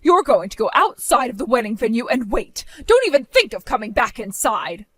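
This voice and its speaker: A woman voicing a stern, belittling middle-aged mother in an animated story, with a cold, scolding manner. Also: a little high, fairly quick, slightly rough, no echo, heavily acted.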